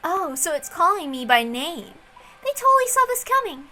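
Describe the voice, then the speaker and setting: Smug young female sleuth, voiced by a woman, high-pitched, scornful and falsely courteous, music underneath. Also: very animated, normal speed, pushed and bright, exaggerated voice. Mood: wry, scornful.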